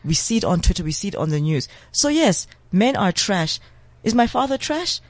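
A man speaks as a talk show guest, making his case with force and emphasis and sometimes rushing ahead in quick bursts. His voice sits rather high for a man, and the recording is close and dry. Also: sing-song, dry recording. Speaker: guest commentator. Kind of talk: impassioned opinion in a talk show.

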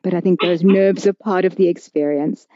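A woman hosting a conversational podcast interview, asking questions in a measured, deliberate way. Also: fairly low pitch, fairly even intonation, no room sound. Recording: dull, lo-fi audio.

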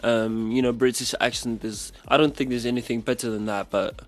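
A man's voice: medium pitch, fairly even intonation, fairly quick, soft background music. A man from South Africa hosting a casual radio chat show as a DJ. He talks in a chatty, rambling way and second-guesses himself mid-sentence. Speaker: radio DJ host.

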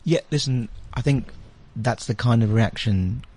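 A man's voice: mid-range voice, unhurried, a little mellow. A man with a British accent, explaining things conversationally as a studio interview guest. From UK, born in India, grew up in London. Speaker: interview guest, advertising agency managing director. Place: radio studio.

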